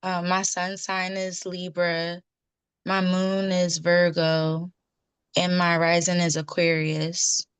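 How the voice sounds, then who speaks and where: Slow, flat-voiced woman in her thirties. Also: on the low side, clear, resonant tone, no echo. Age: 30s. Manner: hesitant, many ums, soft-spoken. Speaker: participant. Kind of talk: group introduction on a video call.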